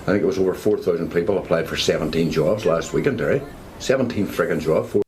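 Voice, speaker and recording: Deep exasperated Northern Irish man. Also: fast, darkish tone, poor audio. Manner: exasperated, blunt. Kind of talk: ranting.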